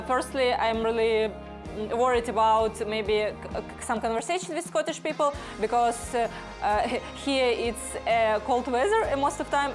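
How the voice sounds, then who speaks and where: An eighteen-year-old woman from Ukraine with a Ukrainian accent, speaking hesitant English with many fillers as she tells her story in an interview. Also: a little high. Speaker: football referee. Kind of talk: interview testimony. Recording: music underneath.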